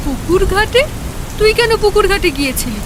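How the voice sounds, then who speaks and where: A woman voicing a frail, fearful but stern village mother in an animated horror story, in a worried, dramatic manner. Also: very high voice, moderate pace, noisy background. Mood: worried, firm.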